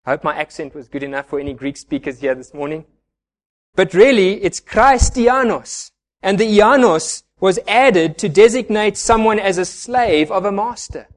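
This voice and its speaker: A man's voice: high voice, normal speed. A man preaching a sermon in a church, earnest and pleading as he explains scripture. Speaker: pastor.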